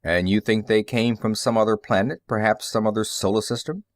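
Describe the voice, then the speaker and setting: A man's voice: lowish voice, steady tone, a little fast, no echo, studio quality, lightly acted. A man voicing an impatient old male scientist in an audiobook, snapping and emphatic as he probes. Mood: snapping, intense.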